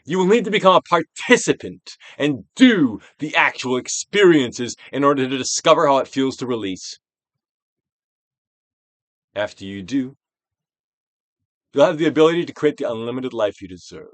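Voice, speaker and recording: A man's voice: mid-range voice, animated, no room sound. Male narrator for self-help audiobook: trained stage actor, dramatic and emphatic, wide-ranging intonation, big dynamic contrasts, very dry close sound. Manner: emphatic, drawn-out phrases with big dynamic swells and hushed drops. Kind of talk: theatrical dramatic audiobook reading.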